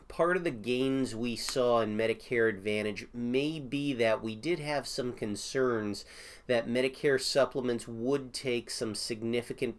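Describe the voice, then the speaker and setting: A man getting over a cold, now and then coughing, hosting a casual question-and-answer video about insurance as an agent, thinking aloud with many fillers. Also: mid-range voice. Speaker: Medicare insurance agent host.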